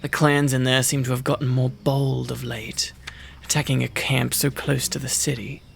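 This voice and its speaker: Male actor for tsundere wolf-man mercenary, ASMR roleplay; very gravelly, gruff, easily flustered, protective underneath, music underneath. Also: medium pitch. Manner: gruff, sardonic, stammers when flustered.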